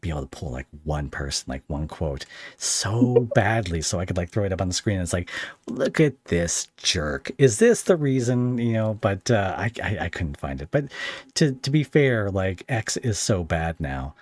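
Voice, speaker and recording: A man hosting a casual interview, talking in a rambling, halting and jokey way. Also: low voice, expressive, a little mellow, husky, no echo. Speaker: podcast host interviewing a guest.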